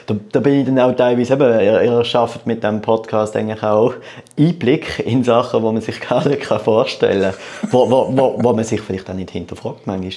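A man's voice: medium pitch, a little fast, warm tone. A man in his thirties with a Swiss German accent, chatting casually as an interview guest and often repeating words as he goes. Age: 30s. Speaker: podcast guest, start-up entrepreneur.

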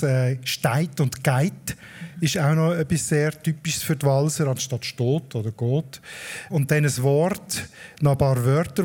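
A man's voice: mid-range voice, darkish tone. A man with a Swiss German accent, a dialect expert explaining word origins in a radio conversation. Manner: explanatory, lecturing on word history.

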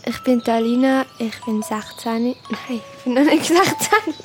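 A woman's voice: fairly high pitch, measured pace, soft background music. A fifteen-year-old girl with a Swiss German accent, talking casually about herself in an interview. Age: 15, teenager.

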